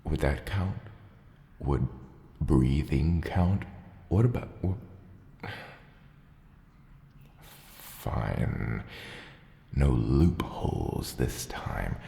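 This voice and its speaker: Man voicing a very deep, gravelly dragon dad. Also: heavily acted. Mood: wry, musing. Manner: halting, deadpan, dryly teasing. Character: gruff, dry-witted dragon father, fond of his hatchling. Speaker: ASMR roleplay performer. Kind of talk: ASMR roleplay acting. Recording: roomy cave-like reverb, clear background ambience.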